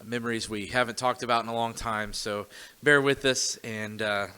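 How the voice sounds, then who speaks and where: Young guy hosting a church podcast, bright clear voice, lots of ums and restarts. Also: medium pitch, steady tone, moderate pace. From Joplin, Missouri. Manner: hesitant, many fillers and restarts. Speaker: podcast host. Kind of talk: casual church podcast conversation.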